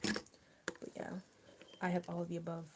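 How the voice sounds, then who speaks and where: A young woman hosting a casual livestream while she eats dinner. She rambles and drops often into soft near-whispers. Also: lowish voice, monotone, a little slow, background noise. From US mid-Atlantic, rural border area. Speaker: livestream host. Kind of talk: casual chat with live viewers while eating.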